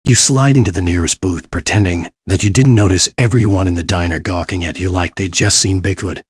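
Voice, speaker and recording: A man's voice: deep voice, brisk, husky, dry recording. A man reading scripted, descriptive and wry second-person narration for a visual novel.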